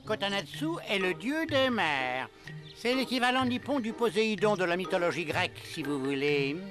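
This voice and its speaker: An actor playing a penny-pinching older headmaster of a cartoon ninja school, pompous, hesitant and wheedling. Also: male voice, high-pitched, very animated, moderate pace, music in the background. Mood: pedantic. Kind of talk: French cartoon dubbing.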